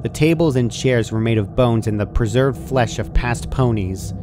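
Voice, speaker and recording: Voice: mid-range voice, moderate pace, over music. A narrator reading a scripted horror fan fiction story aloud.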